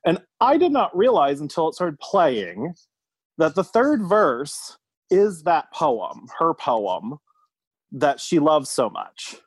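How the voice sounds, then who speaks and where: A man's voice: a little high, big pitch swings, slow pace, breathy edge, no echo. A man from the United States, a podcast guest, telling a personal story in a casual, thoughtful, somewhat hesitant conversational way.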